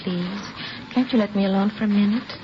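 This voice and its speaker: A woman's voice: mid-range voice, brisk, natural voice. Actress as defensive, weary mother, noisy old radio. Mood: agitated, bitter. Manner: defensive, pleading. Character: evasive, weary young mother under police questioning. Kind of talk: 1950s radio crime drama acting. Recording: dull, noisy vintage radio recording.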